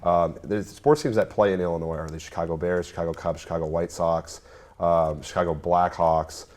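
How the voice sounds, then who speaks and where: A young man from New York State guesting on a casual student talk show, his voice sitting very low. He rambles and hesitates, restarting sentences and filling pauses with ums as he talks off the cuff. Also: little pitch movement, darkish tone. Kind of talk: casual chat.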